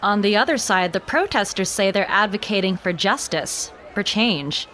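An actress playing a keen local news field reporter in an audio drama, probing and urgent. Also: female voice, mid-range voice, expressive, normal speed, fairly bright tone, slight background noise, lightly acted. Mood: professional, probing.

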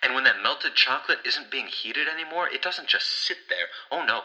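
Fed up nagging coworker guy in an audio drama, super sharp tense voice, very up and down, sarcastic scolding. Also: mid-range voice, expressive, a little fast, muffled recording. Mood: exasperated scolding. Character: exasperated, nagging, responsible male watchtower colleague.

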